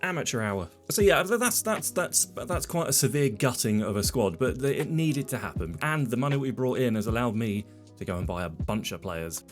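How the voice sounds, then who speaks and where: A man's voice: mid-range voice, expressive, moderate pace, soft background music. A man with a stuffy, cold-blocked voice, casually talking through his football management game, chatty and self-deprecating, often trailing off mid-sentence. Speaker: game commentator. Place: home desk setup.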